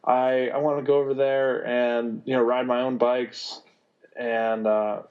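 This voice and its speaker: A man in his twenties answering interview questions, halting and thoughtful. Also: medium pitch, level tone, smooth. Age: 20s. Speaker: guest racer. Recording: dull lo-fi sound.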